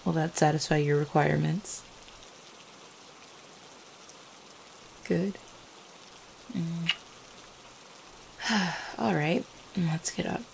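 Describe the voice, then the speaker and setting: A woman playing an affectionate, playful vampire girlfriend in an intimate ASMR roleplay, teasing gently with small hesitant stammers. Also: low voice, slow pace, vocal fry, pauses often, a little hiss or hum, heavily acted, poor audio. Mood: fond teasing. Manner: soft-hearted, teasing, hesitant little stammers and chuckles.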